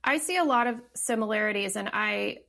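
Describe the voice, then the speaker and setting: Thirties woman author guest, heavy vocal fry, mid pitch, thinks aloud. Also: fairly quick, sharp tone. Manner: thoughtful, many fillers and restarts. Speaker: podcast guest, novelist. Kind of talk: casual interview talk.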